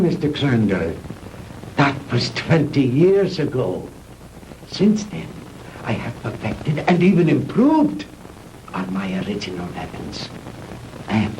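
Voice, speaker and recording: An older Nazi mad scientist. He speaks slowly and pompously, his pitch rising and dropping theatrically as he lectures with fanatical grandeur. The sound is a faintly hissy 1960s B-movie soundtrack. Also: vocal fry, full character voice, poor audio. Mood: triumphant.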